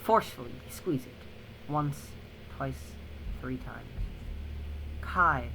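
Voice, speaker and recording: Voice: medium pitch, lively intonation, unhurried, creaky, noisy background. A narrator reading a dramatic visual novel script in a theatrical second-person storytelling manner. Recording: loud music over the voice. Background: loud music.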